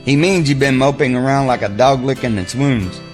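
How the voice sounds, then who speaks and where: An actor playing a folksy, crude, wisecracking buddy in an audio drama, blunt and game for a fight. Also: male voice, medium pitch, moderate pace, soft background music, natural voice. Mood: blunt, goading.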